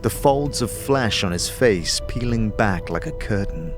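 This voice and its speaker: A man's voice: on the low side, animated, a little slow. A man narrating a fantasy audio drama in a dramatic, scripted, second-person storytelling style. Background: music.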